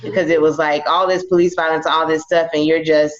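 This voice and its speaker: A man's voice: on the high side, flat delivery, a little fast. Reflective young man, dull lo-fi sound. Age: young adult. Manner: thoughtful, reflective storyteller. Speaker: podcast co-host. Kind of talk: casual chat.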